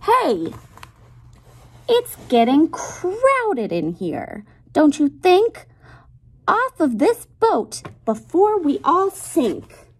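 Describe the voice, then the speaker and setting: A woman's voice: sits high, wildly swooping pitch, extremely slow, pitched above the performer's own voice, exaggerated voice. A woman voicing a bossy pig in a wig from a children's storybook, exclaiming emphatically. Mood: annoyed.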